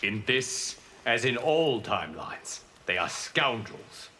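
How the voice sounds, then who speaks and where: An actor playing a wise, solemn fire god in a fighting game, counseling in a measured, formal manner. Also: male voice, mid-range voice, big pitch swings, pushed and bright, slight background noise, heavily acted. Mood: solemn, advising. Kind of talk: video game pre-fight banter.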